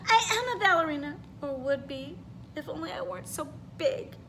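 A big gentle dinosaur longs to dance, speaking in a sweet, hopeful tone. Her voice sits high and bright, as a woman reading a picture book puts her on. A faint hum of the room lies behind her. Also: lively intonation, normal speed. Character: big gentle female dinosaur dreaming of ballet.

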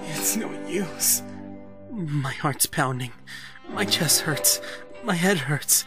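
A woman's voice: sits low, animated, slow, over music. A woman voicing a melodramatic, whiny rich schoolboy who is sick in bed, theatrical and plaintive, in a manga fan dub. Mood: weak, plaintive.

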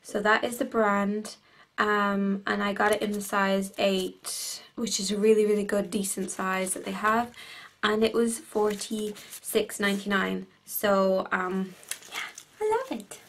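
A bubbly Irish woman in her twenties gushes through a casual haul video, piling on fillers and excited asides. Her voice is a little croaky today. She talks to a camera on the desk in her bedroom. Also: medium pitch, unhurried. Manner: bubbly, gushing, chatty with many fillers. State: a bit croaky. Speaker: beauty vlogger. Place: bedroom at home.

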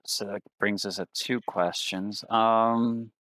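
A man's voice: fairly low pitch, fairly even intonation, slow pace, a bit bright. A man playing a tabletop game, casually asking questions as a player.

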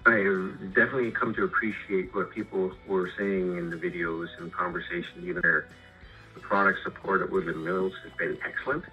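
A middle-aged Canadian man chatting casually and haltingly about his projects over a muffled phone call, rambling and repeating words. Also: low voice, steady tone. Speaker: homeowner and sawmill customer. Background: music.

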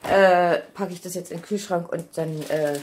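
A woman's voice: fairly low pitch, steady tone, normal speed. A woman, chatting casually to camera in an informal, thinking-aloud way on a phone at arm's length in a kitchen. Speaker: vlogger. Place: home kitchen. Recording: noisy handheld vlog recording with clicks.

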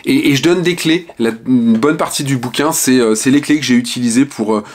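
A man's voice: medium pitch, a little fast. A man in his fifties from the Ardennes, frankly telling his life story to the camera at home with hesitant fillers. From Ardennes, France. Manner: frank and reflective, many hesitant "euh" fillers. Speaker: author and online speaker. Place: small home room with shelves and lamp.

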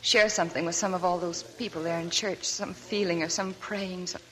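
Actress as a young woman with a hidden past, soft and subdued, 1940s radio drama. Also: fairly low pitch, fairly even intonation, normal speed, close to an everyday voice, rough recording. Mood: confiding. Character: young woman hiding a sad past, weary. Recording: old radio broadcast with faint music.

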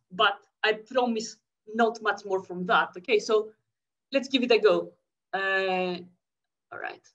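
A woman in her thirties with a Greek accent, from Greece, reading a conference paper quickly over a video call. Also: mid-range voice, fairly bright tone. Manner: fast-talking, checks in with the audience. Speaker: academic speaker. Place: home room, indoors. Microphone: laptop webcam mic, video call. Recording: muffled lo-fi video call audio.

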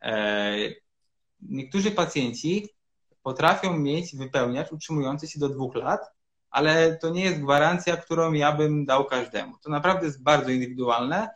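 A man in his thirties, a urologist guest, explaining treatments in measured sentences with frequent hesitations over a video call from a room at home. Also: on the high side, a bit bright. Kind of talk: explanatory interview answers.

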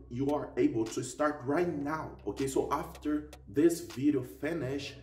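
A young man with a Portuguese accent explains things casually and emphatically to viewers, checking in as he goes. His pitch is average for a man. He sounds set back from the mic in an echoey living room, with music faintly behind him. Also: a little mellow. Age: young adult. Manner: emphatic, often tags sentences with "okay?". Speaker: YouTube host. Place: living room at home, indoors. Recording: roomy echo, distant mic, faint music.

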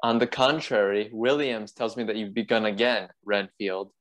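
A man's voice: on the low side, steady tone, normal speed, a bit bright, lightly acted. A man playing an authoritative, polite asylum doctor in a radio play. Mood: firm.

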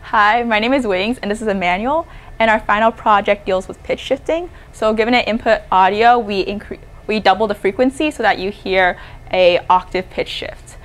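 A woman's voice: mid-range voice, normal speed. A woman in her twenties giving an informal technical presentation as a student, recorded on a lapel mic in a lab. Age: 20s. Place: indoor electronics lab.

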